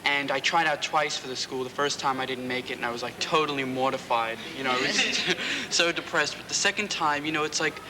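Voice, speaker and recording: A man's voice: medium pitch, fairly quick, long reverb tail, a crowd in the background. A young man from the Bronx, talking casually as a drama student in an interview. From the Bronx, New York. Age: teens to young adult. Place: large school rehearsal hall. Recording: noisy, worn tape copy.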